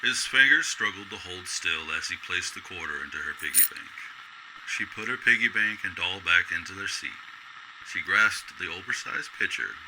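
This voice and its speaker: Low voiced guy narrating a radio story, measured and descriptive, noisy background. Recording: loud background noise.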